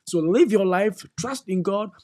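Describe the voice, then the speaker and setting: Preachy, warm-voiced man. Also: high voice, unhurried. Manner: preachy, exhorting, repeats key phrases. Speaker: co-host. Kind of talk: faith-based advice talk.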